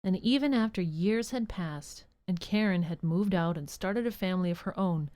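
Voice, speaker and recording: A woman narrating an audio drama as a gentle, earnest storybook storyteller. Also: lowish voice, natural voice. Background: music.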